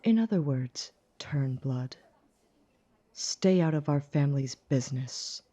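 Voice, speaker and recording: A woman playing a young, haughty werewolf family enforcer in an audio drama, cold, sneering and aggressive, with a dark husky voice on a dull recording. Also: a bass voice, expressive, slow, full character voice. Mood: haughty scolding. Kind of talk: scripted audio drama acting. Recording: dull, muffled lo-fi microphone.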